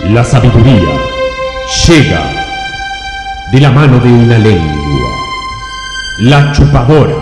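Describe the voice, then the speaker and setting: An actor playing a pompous, controlling rich husband in a comedic radio play, stern and drawn-out. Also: male voice, mid-range voice, animated. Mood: grandiose. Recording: echoey hall with music behind.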